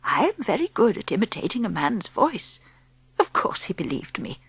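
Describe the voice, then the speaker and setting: A woman voicing a gentle, wise grandmother in her eighties, speaking slowly in a soft, whispery hush. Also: mid-range voice, very animated, slightly rough, no echo, exaggerated voice. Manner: slow and hushed.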